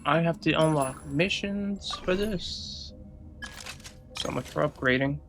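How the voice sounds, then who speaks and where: Gravelly, higher-pitched man gaming. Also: slow. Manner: reactive, swearing, talks to himself. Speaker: video game streamer. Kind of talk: casual gameplay commentary. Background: game music.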